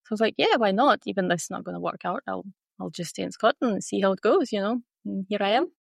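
A woman's voice: mid-range voice, quick pace, vocal fry, no echo, studio quality. A woman from Russia, a native Russian speaker with a Glaswegian accent, chatting casually and telling personal stories as an interview guest. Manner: chatty, laughs often while talking.

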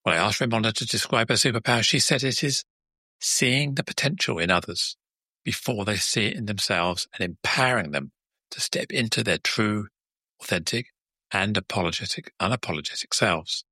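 Bright-voiced, low-pitched male podcast host. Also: moderate pace, breathy edge, no echo. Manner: chatty, repeats words while forming questions. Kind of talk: conversational interview.

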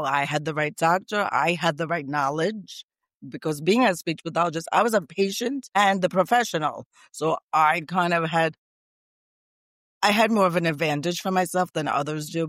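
A middle-aged woman with a raspy, strained voice, talking eagerly and emphatically as a guest on a podcast interview. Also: sits low, fairly bright tone, no echo, studio quality. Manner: talkative, emphatic and repetitive. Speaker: guest, speech pathologist.

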